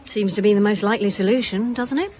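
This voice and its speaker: A woman's voice: mid-range voice, fast talker, natural voice. An actress as a dry, commanding female police inspector, noisy background. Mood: firm, probing. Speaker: actor in an audio drama. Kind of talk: naturalistic radio drama dialogue.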